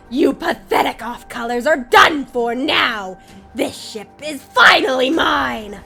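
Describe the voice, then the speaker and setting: A woman voicing a haughty, menacing female gem villain with a sharp, gravelly edge and theatrical taunting, in a fan comic dub. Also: high-pitched, expressive, very slow, faint music behind, heavily acted. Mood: gloating.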